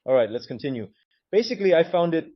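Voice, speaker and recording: A man's voice: a little high, sing-song, quick pace, no echo, dull audio. A man presenting a game's screens in a walkthrough, sometimes hesitant as he searches. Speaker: game presenter.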